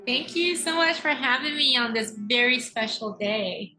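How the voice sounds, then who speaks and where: A woman's voice: sits high, animated, measured pace, bright, forceful tone, soft background music. A woman of thirty-nine, raised in Taiwan, telling her personal story earnestly and emphatically as an interview guest. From born in America, raised in Taiwan. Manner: earnest, emphatic, uses rhetorical tags like "right?". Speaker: podcast guest, mindset coach.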